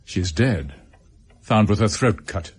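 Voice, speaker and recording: An earnest police detective in a radio drama. He is English, practical and businesslike, with a lively pitch range and a slightly rough, husky tone. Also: takes it slowly, heavily acted. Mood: grave.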